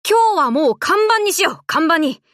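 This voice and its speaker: A woman voicing a brash, tomboyish young warship girl in an anime game, talking in rough, cocky, casual boyish slang. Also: very high-pitched, big pitch swings, normal speed, no room sound, studio quality. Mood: upbeat. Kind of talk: Japanese anime game voice lines.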